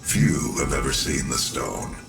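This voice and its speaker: An actor playing a grave, measured director of a sci-fi research facility in a video game, with a gravelly voice. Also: male voice, a bass voice, fairly even intonation, distant mic, music in the background, exaggerated voice. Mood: solemn, inviting. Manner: measured, solemn. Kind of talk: video game cutscene acting.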